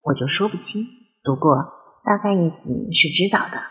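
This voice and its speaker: A woman playing a lively, playful and chatty nineteen-year-old girl in an audio drama. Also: on the low side, moderate pace, close to an everyday voice, low-quality recording. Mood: explaining, thoughtful. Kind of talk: Chinese audio drama acting.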